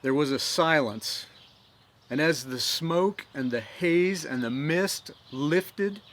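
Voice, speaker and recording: Slow earnest male storyteller outdoors. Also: on the high side. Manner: earnest, slow, grows animated in dramatic parts. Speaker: storyteller host. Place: outdoors in nature.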